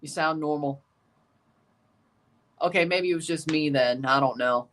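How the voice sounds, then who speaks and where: Male streamer, slightly hoarse, doing casual play-by-play with dry remarks to chat. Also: a little high, a little slow, fairly bright tone. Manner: calls play like a commentator, dry asides to chat. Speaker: livestream watchalong host. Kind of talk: live match reaction and play-by-play.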